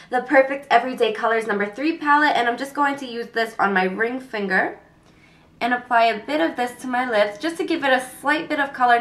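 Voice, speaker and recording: A young woman with a cold and a congested voice, calmly talking through a makeup tutorial step by step. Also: a little high. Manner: calm step-by-step explaining with fillers. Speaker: beauty vlogger.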